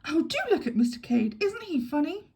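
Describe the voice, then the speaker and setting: A woman's voice: sing-song, fairly quick, vocal fry, warm tone, heavily acted. A woman voicing a gushing, skittish young lady tourist. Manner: gushing, giggly, shrill. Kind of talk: audiobook character voice.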